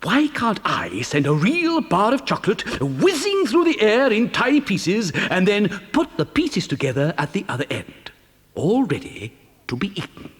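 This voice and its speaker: A man playing an eccentric, excitable chocolate factory owner and inventor in a children's audio drama, theatrical, with stammering, hesitant repetitions. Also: sits high, moderate pace. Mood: boastful, confiding. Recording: long hall-like reverb.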